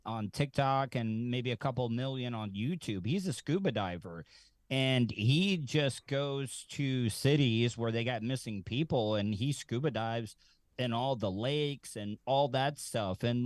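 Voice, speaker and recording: A man's voice: medium pitch, no echo. A man chatting casually as a panelist on a talk show. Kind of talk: casual chat.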